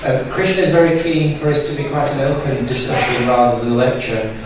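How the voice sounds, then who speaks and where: A man chairing an event, introducing the guest speaker in an earnest, slightly halting way. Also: medium pitch. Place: large dim hotel function room. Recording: echoey room from far off, noisy.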